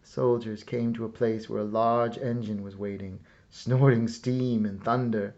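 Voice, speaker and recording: A man reading a children's story aloud as scripted narration, with a measured, dramatic storyteller's delivery. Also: fairly low pitch, level tone, warm tone, highs cut off. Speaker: storyteller reading a picture book.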